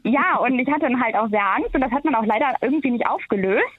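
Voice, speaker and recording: Over a narrow, tinny telephone connection, a woman caller talks in a casual, recounting way. Her voice sits a little higher than average. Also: animated, fast talker. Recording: tinny narrow phone line.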